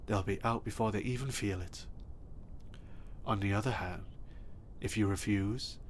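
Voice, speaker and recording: A man playing a soft-spoken menacing obsessive stalker. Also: lowish voice, moderate pace, a little hiss or hum, lightly acted. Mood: cold menace. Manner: soft, intimate and menacing, bursting into threats. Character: obsessive, possessive male stalker kidnapper, adult. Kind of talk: ASMR audio roleplay.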